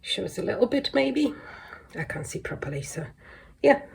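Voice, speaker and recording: A woman's voice: a little high, very animated, normal speed, vocal fry, husky. A woman with a congested voice chatting casually through a show-and-tell hobby vlog, rambling and a little hesitant. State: congested from flu. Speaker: colouring hobby vlogger.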